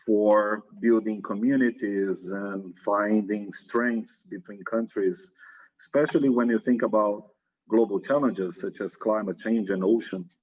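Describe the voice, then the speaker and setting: A middle-aged man with a Brazilian accent speaks English in a low, slightly husky voice. He talks as a relaxed guest over a video call, taking his time and filling his pauses with "uh". The audio sounds dull and lo-fi. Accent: Brazilian Portuguese. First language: Portuguese. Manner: relaxed, thoughtful, with frequent "uh" pauses. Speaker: interview guest. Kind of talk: casual conversational interview.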